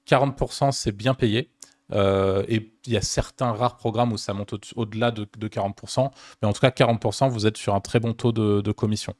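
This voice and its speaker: A man's voice: fairly low pitch, fairly even intonation, a little fast, no room sound. A man with a French accent co-hosting a podcast, talking casually with many hesitations and restarts. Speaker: podcast co-host.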